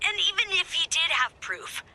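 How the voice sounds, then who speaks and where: Bright, spirited young-sounding resistance leader. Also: female voice, very high-pitched, very animated, normal speed, a little hiss or hum, full character voice, poor audio. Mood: confiding. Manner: heartfelt, informal, rallying. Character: spirited female resistance leader, earnest and hopeful. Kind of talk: scripted game character dialogue.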